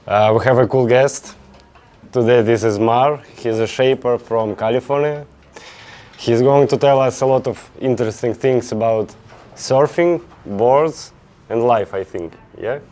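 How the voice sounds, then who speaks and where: A man opens an event and introduces the guest with a quick welcome. His voice is soft and warm. He stops and starts, filling the gaps with "uh". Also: mid-range voice. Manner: halting, with frequent "uh" pauses. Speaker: event host introducing the speaker. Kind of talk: brief welcome announcement.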